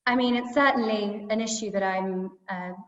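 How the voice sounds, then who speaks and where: A woman journalist answers slowly and thoughtfully, stopping often to restart her sentences. Her voice comes through a video call with a roomy echo and a long tail of reverb. The sound is dull and muffled. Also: mid-range voice. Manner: halting, thoughtful, many fillers and restarts.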